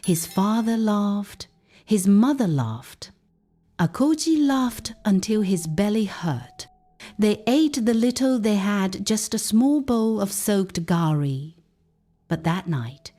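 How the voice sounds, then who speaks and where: A woman narrating a folktale as a storyteller, with deliberate, emphatic and dramatic phrasing. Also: mid-range voice, expressive, mellow.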